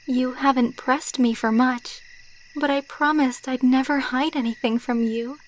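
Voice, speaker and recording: ASMR roleplay: actress as female vampire lover, ageless and sentimental. Fairly high, gentle, intimate delivery, taken very slowly. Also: fairly even intonation, a little hiss or hum, poor audio. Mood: wistful, confiding. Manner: soft, intimate, unhurried, sentimental. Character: tender, wistful immortal female vampire girlfriend.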